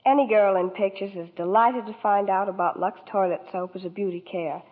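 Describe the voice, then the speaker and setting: Sweet-voiced young starlet on old radio. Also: female voice, mid-range voice, fairly even intonation, brisk. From New York. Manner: sweet, polite scripted chat. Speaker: guest starlet. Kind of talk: scripted 1940s radio interview. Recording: tinny 1940s radio broadcast.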